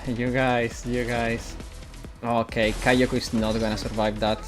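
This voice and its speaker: A man's voice: medium pitch, flat delivery, unhurried, over music. A man from Italy with a mixed British and American English accent, chatting casually on a live gaming stream and answering viewers' questions as he plays. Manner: chatty, answers chat while playing.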